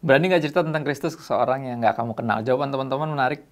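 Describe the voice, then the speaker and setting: A man in his thirties hosting a youth devotional show, explaining Bible passages in a relaxed teaching manner and reading verses aloud. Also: medium pitch, racing. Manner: reads scripture aloud between casual remarks. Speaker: host. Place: small dark studio set, indoors.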